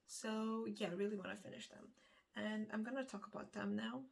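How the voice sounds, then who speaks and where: A woman's voice: medium pitch, fairly even intonation, brisk, vocal fry. A woman from Lithuania, a native Lithuanian speaker, chatting casually and unscripted to camera as a book vlogger, rambling and correcting herself hesitantly as she goes.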